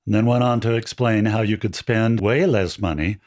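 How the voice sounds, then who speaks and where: A man with a fairly deep voice hosting a friendly step-by-step audio tutorial, explaining in a relaxed, chatty way with small jokes, recorded on a dynamic mic with no EQ. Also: normal speed. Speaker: audio gear tutorial host. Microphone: dynamic handheld-style studio mic, no EQ.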